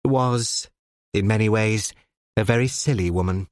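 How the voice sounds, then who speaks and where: A reader voicing a cool, sardonic elderly male judge who speaks in precise, measured phrases. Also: mid-range voice, a little mellow, no room sound, studio quality, lightly acted.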